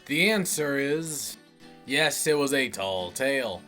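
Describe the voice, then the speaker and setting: A man's voice: medium pitch, lively intonation, takes it slowly, bright, forceful tone, soft background music. An American man hosting a video series, telling stories and chatting casually to viewers in a folksy way. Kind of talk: casual scripted storytelling and chat to viewers.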